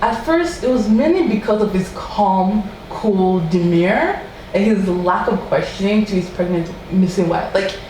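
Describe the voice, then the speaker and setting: A woman's voice: medium pitch, moderate pace, recorded from a distance. A woman talks through a true-crime case in loose, chatty storytelling, her voice lifting when she quotes people. She sits well back from the mic in a very echoey room, with a faint hiss behind her. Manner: chatty, animated, quoting people in raised voice. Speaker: podcast host.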